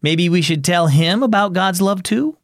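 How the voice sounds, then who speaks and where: A man's voice: high voice, animated, normal speed, no echo, clean studio sound, pitched above the performer's own voice. A man voicing a kind, forgiving father in a storybook reading. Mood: reassuring. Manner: soft, reassuring.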